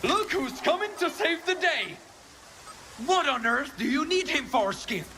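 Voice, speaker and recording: A bitter, ambitious game scientist with a high-pitched, theatrical voice. He rants grandly and swoops up and down, over noise and music. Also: big pitch swings, unhurried, sharp tone, exaggerated voice. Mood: indignant, fervent. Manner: theatrical, ranting. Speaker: scientist character. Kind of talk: video game cutscene acting.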